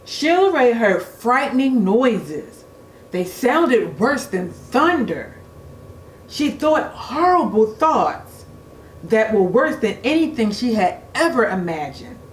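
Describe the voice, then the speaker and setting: A woman reading a children's storybook aloud in an expressive, deliberate way, like a teacher at storytime. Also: a little high. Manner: expressive, deliberate, engages young listeners with questions. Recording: echoey room with faint noise.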